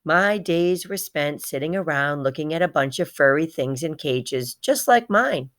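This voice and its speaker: Woman reading as a proud little boy hamster telling his storybook tale, dramatic, lowish voice. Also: lively intonation, a little slow. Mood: wistful, eager. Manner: dramatic, repeats words for emphasis. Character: small male pet hamster, bright, proud, heartbroken storyteller. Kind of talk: children's storybook read-aloud in first person.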